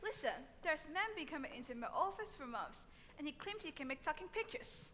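A woman's voice: sits high, lively intonation, brisk, a bit bright, big-room sound, slight background noise. A girl playing a showy studio boss. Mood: announcing. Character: pompous older male studio boss. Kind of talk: school stage musical acting.

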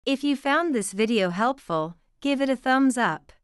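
Woman reading lesson phrases slow and clear, kinda high voice, super dry sound. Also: animated, no room sound. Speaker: English teacher. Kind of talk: scripted lesson narration.

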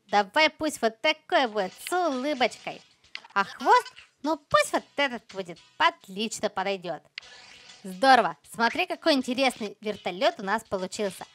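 A woman's voice: a little high, a bit bright. A woman hosting a children's gaming channel, giving casual, chirpy commentary with playful exclamations and cute diminutives as she talks to young viewers.

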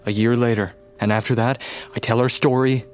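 Man acting a troubled young man, weary, guarded, halting speech, naturalistic audio fiction, faint music. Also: medium pitch, level tone, natural voice. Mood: bitter, frustrated. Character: troubled, guilt-ridden, weary man in his late twenties.

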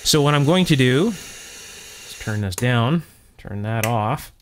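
A man's voice: mid-range voice, animated, takes it slowly, slight background noise. A man with a mid-range voice talks through repair work as he does it. He explains each step methodically, in the manner of someone giving a practical demonstration. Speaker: electronics repair host. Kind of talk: hands-on repair demonstration, talking while working.

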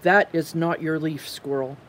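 A woman's voice: low voice, fairly even intonation, voice pushed down. Woman as a patient, sensible storybook bird. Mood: patient, firm. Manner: measured, reasoning, reassuring. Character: patient, sensible bird calming an anxious friend. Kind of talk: children's storybook read-aloud.

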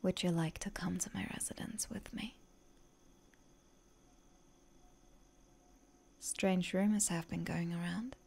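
A woman's voice: fairly low pitch, steady tone, darkish tone, slight background noise. Woman as a whispering, seductive vampire lady. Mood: coaxing, sly. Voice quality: breathy whisper. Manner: slow, genteel, coaxing. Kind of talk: whispered roleplay ASMR.

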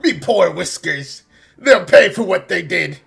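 A man acts out an old robot pirate captain for a game fandub, full of vengeful bluster. The drawl is theatrical and gravelly, swinging widely in pitch. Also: animated, unhurried, fairly bright tone, faint music behind, full character voice. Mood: outraged, vengeful.

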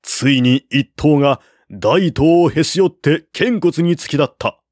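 A man narrating a classical war novel as an audiobook, in a measured, even storytelling manner. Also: fairly high pitch, no echo, clean studio sound.

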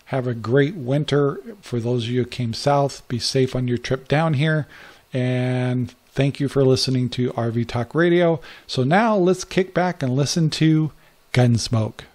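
A man's voice: medium pitch, measured pace, darkish tone. An older American man hosting a podcast in a casual, rambling way. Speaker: podcast host. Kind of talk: casual, rambling show talk.

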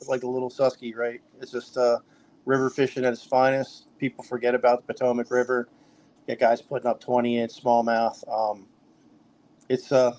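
A middle-aged man talks in a loose, rambling way and keeps restarting his sentences. His pitch stays fairly level and flat throughout. It is relaxed, casual podcast guest talk. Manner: loose, filler-heavy talk with many restarts. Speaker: kayak fishing trail director.

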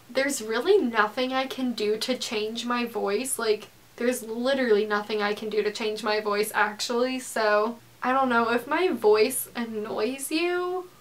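A teenage girl talking straight to the camera in her bedroom, casual and frank, with a flat, monotone delivery, lots of "like" and some swearing. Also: a little high, unhurried. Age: teens. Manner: frank, heated, peppered with "like" and swearing. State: tearful. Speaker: YouTuber. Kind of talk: casual confessional vlog. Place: bedroom, indoors.

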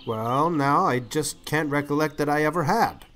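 An actor playing a shy, kindly older farmer in an audiobook, with halting, folksy speech. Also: male voice, a little high, fairly bright tone, natural voice. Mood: shy, gentle.

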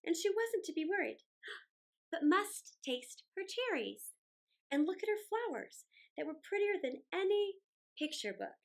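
A middle-aged woman reading a children's story aloud indoors, with deliberate, dramatic phrasing and drawn-out words. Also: very high voice, sing-song, gravelly. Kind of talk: expressive read-aloud storytelling. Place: small indoor room, plain wall.

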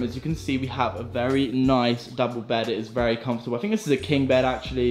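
A young man talking to a handheld camera at arm's length in a casual travel vlog, upbeat, chatty and self-deprecating. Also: mid-range voice. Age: young adult. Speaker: travel vlogger. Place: outdoors and in a holiday flat, sunny island. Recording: music playing underneath.

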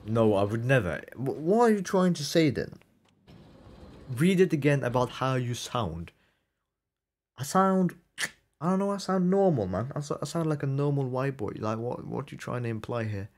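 A man's voice: mid-range voice, expressive, moderate pace, a little mellow. A young man streaming a game live, reading chat aloud and answering it with incredulous, laughing banter.

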